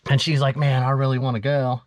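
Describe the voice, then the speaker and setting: A man's voice: mid-range voice, little pitch movement, fast talker, muffled recording, a little hiss or hum. A man in casual hobby chat, speaking in an enthusiastic, agreeable and rambling way as a visiting vlogger. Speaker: vlogger visiting a radio collector.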